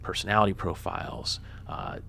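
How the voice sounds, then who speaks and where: A man's voice: low-pitched, fairly even intonation, normal speed, gravelly, bright, forceful tone, husky. A man who works as a reporter, joking and loose in a radio interview, talking with many fillers. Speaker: guest, reporter for an online publication.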